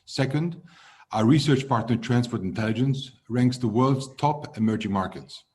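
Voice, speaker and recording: A man in his fifties, born in Hungary, answering interview questions as a logistics executive in a measured way that trails off at the ends of phrases. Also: mid-range voice, darkish tone, slight background noise.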